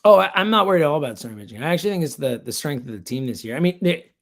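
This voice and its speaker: A man's voice: on the high side, animated, rapid-fire. A man giving blunt, opinionated sports analysis as a guest commentator, in casual, slangy and emphatic talk. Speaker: sports radio producer and host, guest commentator.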